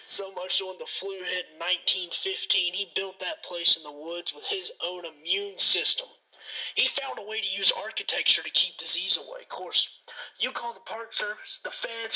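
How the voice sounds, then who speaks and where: A ranty paranoid conspiracy host man, radio drama, folksy n defiant, real sharp bright high voice. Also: husky, full character voice, low-quality recording. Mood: scornful, excited. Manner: folksy, suspicious, ranting. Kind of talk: radio drama phone interview.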